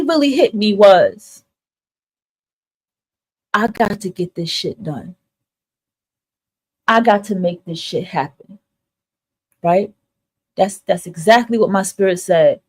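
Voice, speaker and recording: A woman's voice: mid-range voice, expressive, slow, long pauses, a little mellow, rough recording. A woman host talking casually and candidly to her listeners in an emphatic, repetitive, motivational monologue, swearing freely. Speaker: host of a spiritual advice livestream.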